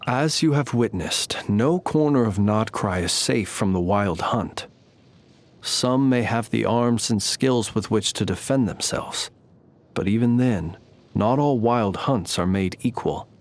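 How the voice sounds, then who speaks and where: A man voicing a courtly, humble lamp-bearing knight in a video game, speaking in formal, eloquent, old-fashioned phrases at a measured pace. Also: on the low side. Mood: grave, cautioning. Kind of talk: scripted game character voice lines.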